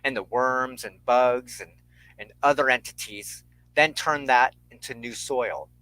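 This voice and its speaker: A man hosting a spiritual talk in a solemn, sermon-like style, speaking in measured, formal and ceremonious phrases. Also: mid-range voice, bright, forceful tone, no room sound, low-quality recording. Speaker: host of a weekly spiritual talk show.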